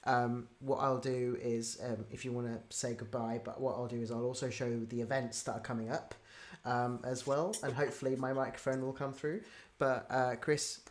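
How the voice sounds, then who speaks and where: A woman's voice: rumbling low, flat delivery, moderate pace, darkish tone. A woman hosting a casual, unscripted interview. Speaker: interviewer, event host.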